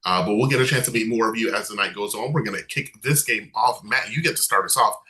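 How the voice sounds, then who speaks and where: A man's voice: lowish voice, quick pace, pushed and bright. A man hosting an online game night over a video call, chatty, upbeat and self-deprecating. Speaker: game show host.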